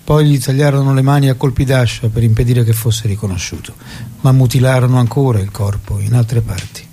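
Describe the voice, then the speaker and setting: An actor is a solemn storyteller narrating a drama. His mid-pitched voice is mellow, and he delivers the lines gravely and with measure. A faint noise sits behind him. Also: fairly even intonation, brisk, natural voice. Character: solemn male storyteller recounting a revolutionary's death.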